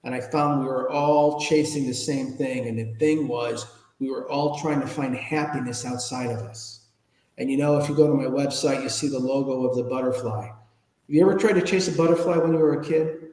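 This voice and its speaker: A man in his fifties or older telling personal stories conversationally as an interview guest, informal and animated, often asking rhetorical questions. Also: medium pitch, brisk. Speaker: interview guest, public speaker. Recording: echoey room with long reverb.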